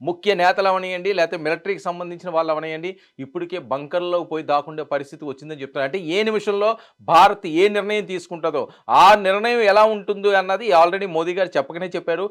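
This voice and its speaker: A man's voice: high-pitched, fairly even intonation, fast, fairly bright tone, clean tone. A man delivering news commentary in an emphatic way, repeating phrases for stress. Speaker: news presenter.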